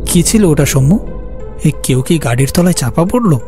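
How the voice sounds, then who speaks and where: A man playing an anxious, higher-voiced storyteller. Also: lively intonation, moderate pace, natural voice. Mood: panicked. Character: anxious, guilt-haunted male office worker telling his story. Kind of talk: dramatic horror story narration and dialogue. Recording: music behind the voice.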